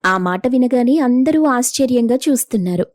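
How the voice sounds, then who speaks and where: A woman's voice: fairly high pitch, animated, normal speed, darkish tone, no echo, studio quality. A woman narrating a scripted moral story as the storyteller.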